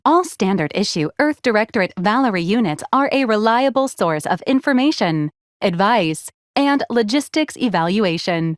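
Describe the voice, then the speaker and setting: A woman playing a formal, dutiful female ship's AI in a video game, speaking in a measured, clipped, impersonal way. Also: mid-range voice, darkish tone, no echo, studio quality, lightly acted. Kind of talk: scripted video game voice acting.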